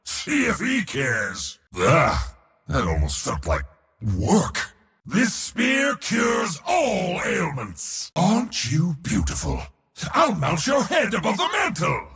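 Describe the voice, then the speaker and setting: An actor playing a boastful, battle-hungry god of war in a video game, with a raspy, gravelly voice and a pompous, taunting, theatrical delivery. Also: male voice, wildly swooping pitch, takes it slowly, fairly bright tone, shouting, big-room sound, full character voice. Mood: smug, taunting. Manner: pompous, theatrical, taunting, swinging between bellows and low sneers. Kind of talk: video game hero voice lines.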